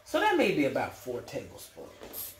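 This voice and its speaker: A woman's voice: extremely low pitch, wildly swooping pitch, normal speed. A middle-aged American woman with a folksy, playful manner, casually demonstrating home cooking as the host. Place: indoors in a home kitchen. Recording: roomy, clearly noisy home recording with clicks.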